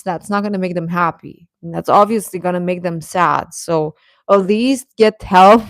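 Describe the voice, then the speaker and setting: A woman's voice: on the low side, dry recording, clean studio sound. A woman hosting a livestream, chatting casually and advising viewers in a conversational way, often hesitating and correcting herself. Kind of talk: casual chat, giving advice to viewers.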